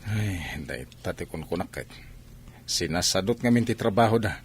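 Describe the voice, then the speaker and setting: A man's voice: sits low, lively intonation, moderate pace, husky. A man acting a stern, scolding older brother. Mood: grumbling. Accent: Filipino. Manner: scolding, grumbling. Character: stern, exasperated elder brother, village husband. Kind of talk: Ilocano comedy radio drama acting.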